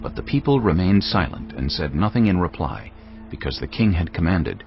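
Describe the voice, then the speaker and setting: A man narrating scripture in a grave, measured way. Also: low voice, big pitch swings, creaky, soft background music. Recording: dull lo-fi audio.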